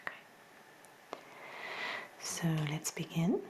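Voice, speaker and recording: Slow, whispery woman doing soft ASMR. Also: low-quality recording. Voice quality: soft, breathy, near-whispered. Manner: gentle, reassuring, unhurried. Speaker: ASMR performer playing a hearing examiner. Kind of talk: soft-spoken ASMR medical roleplay.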